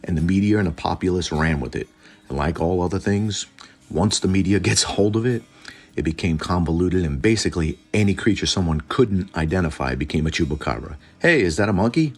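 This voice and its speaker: A man with a gravelly, husky voice narrating lore informally, with a wry, irreverent manner. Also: low-pitched, a little fast, a little mellow. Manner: irreverent, wry, mildly profane. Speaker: host.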